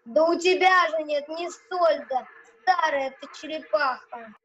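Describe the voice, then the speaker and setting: Child playing a bossy puppet-theatre villain, very high-pitched. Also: measured pace, a bit bright. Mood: scornful, greedy. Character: gruff, greedy, bullying puppet-theatre master. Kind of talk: children's amateur audio play. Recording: dull, noisy home recording.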